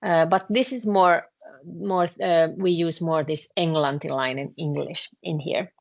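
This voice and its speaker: A woman with a Finnish accent teaching a language class, explaining patiently with frequent pauses and fillers. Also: low voice, vocal fry, dull audio. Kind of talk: classroom lesson explaining grammar.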